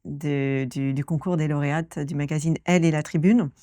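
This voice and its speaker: A woman's voice: deep voice, fairly even intonation, moderate pace, warm tone, no room sound. A woman interviewer speaking in a casual, enthusiastic way with hesitant phrasing, in a small improvised studio. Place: improvised studio in a concert hall dressing room.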